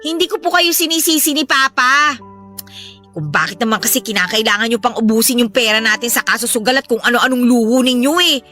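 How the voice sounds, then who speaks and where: Young adult Filipino daughter, aggrieved and self-defending, for radio-style melodrama. High, bright, sharp tone. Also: female voice, brisk, faint music behind. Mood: defensive, reproachful. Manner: complaining, self-defending, politely reproachful. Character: put-upon, clumsy, frank young Filipino daughter. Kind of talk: melodramatic Filipino audio drama acting.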